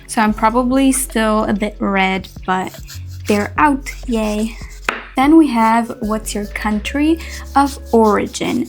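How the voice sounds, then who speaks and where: A young woman from Switzerland, a native Swiss German speaker, chatting earnestly with soft laughs while carving a pumpkin in a Q&A vlog. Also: a little high. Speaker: content creator.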